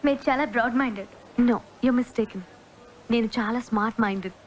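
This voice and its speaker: A woman's voice: fairly high pitch, fairly quick, lightly acted, rough recording. An actress playing a frank, self-assured young woman in a romantic comedy film, speaking matter-of-factly with English words mixed in. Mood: frank, composed. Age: young adult. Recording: film soundtrack with clear music behind.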